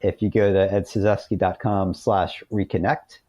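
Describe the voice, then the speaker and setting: Low, mellow American man on dull, roomy audio. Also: level tone, moderate pace. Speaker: interview guest, author. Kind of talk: conversational interview.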